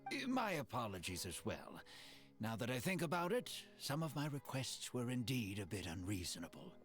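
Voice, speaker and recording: A man voicing an earnest, emotional young male game hero in an expressive manner. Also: mid-range voice, moderate pace, faint music behind, close to an everyday voice. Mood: apologetic.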